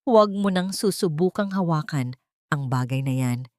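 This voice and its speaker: A woman's voice: low-pitched, animated, moderate pace, darkish tone, dry recording, studio quality. A woman voicing a cold, cruel elderly mistress of a mansion in an audiobook, stern and commanding. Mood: threatening.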